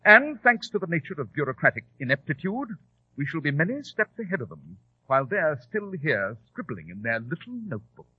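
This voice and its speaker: An actor playing a shrewd, sardonic English gentleman detective with precise, lofty diction in a 1940s radio drama. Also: on the high side, sing-song, a little fast, background noise. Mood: brisk, lofty. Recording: dull, muffled old radio recording.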